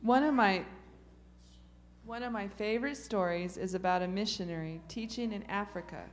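A woman reads a short story aloud for a young audience. Her pitch sits in the middle of the range, and her delivery is steady, as if she were reading from the page. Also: moderate pace. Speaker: story reader.

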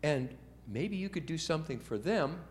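A man's voice: fairly high pitch, animated, moderate pace, slight background noise. A man in his seventies telling a story from memory to children in a folksy, chatty way, often asking them questions. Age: 70s. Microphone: told from memory without notes.

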